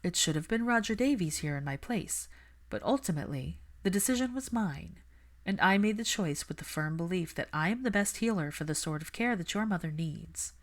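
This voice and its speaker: A woman's voice: on the low side, animated, brisk. A woman voicing a principled, stubborn young female healer who speaks earnestly and precisely in an audiobook. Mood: earnest, firm. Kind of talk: audiobook character dialogue.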